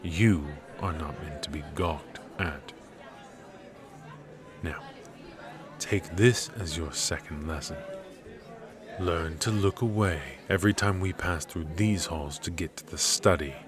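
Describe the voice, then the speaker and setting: A man playing a cold, strict royal magic professor in an ASMR roleplay, speaking in a measured, clipped, lecturing way. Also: a crowd in the background. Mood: disdainful, stern. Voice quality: low and cool, measured.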